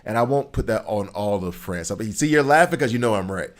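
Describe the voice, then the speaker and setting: A man's voice: on the low side, expressive, fast. An American man in his thirties, chatting casually with viewers while he plays, rambling and joking as he answers their questions. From United States.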